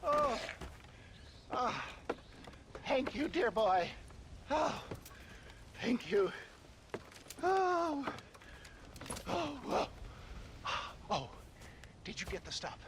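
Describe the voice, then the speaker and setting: A man's voice: very high voice, very animated, extremely slow, long pauses, noisy background, full character voice. A man playing an elderly, wheedling snake-oil salesman in a video game, flustered, florid and theatrical. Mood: flustered, pleading. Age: older. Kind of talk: video game cutscene acting.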